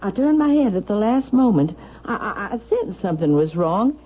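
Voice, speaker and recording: A woman's voice: mid-range voice, sing-song, normal speed, breathy edge. An actress playing a troubled, stubborn mother in a 1970s radio drama. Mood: urgent, fearful. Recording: thin phone-like vintage radio sound.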